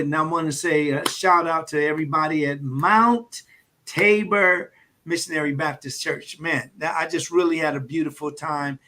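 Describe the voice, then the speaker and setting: A man, a doctor, hosting a live health stream in a loose, halting way with many fillers and light jokes. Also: fairly high pitch, pushed and bright. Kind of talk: casual livestream talk.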